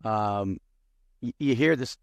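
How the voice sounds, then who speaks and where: A man's voice: lowish voice, slow, creaky, no room sound, highs cut off. A man co-hosting a podcast, asking questions in a hesitant, word-searching way. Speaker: podcast co-host. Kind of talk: casual interview questions.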